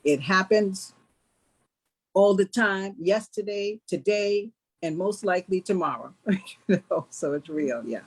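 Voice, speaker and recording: An American woman with a husky voice answers questions as an interview guest. Her delivery is casual, measured and deliberate. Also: medium pitch, animated, darkish tone, low-quality recording. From New York. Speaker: stage play cast member as guest.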